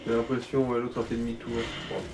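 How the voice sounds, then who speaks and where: A man's voice: mid-range voice, completely flat delivery, quick pace, distant mic. A man giving casual, slangy let's play commentary as he guides the player. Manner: colloquial, slangy. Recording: background noise behind the voice.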